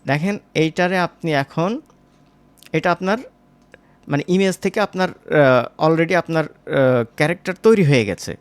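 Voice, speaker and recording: A man teaching as a software instructor, informal and step by step, often checking in with short tag questions. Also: a little high, moderate pace, long pauses, clean tone, no echo. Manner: conversational, seeking agreement with short tag questions. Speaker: animation tutorial instructor.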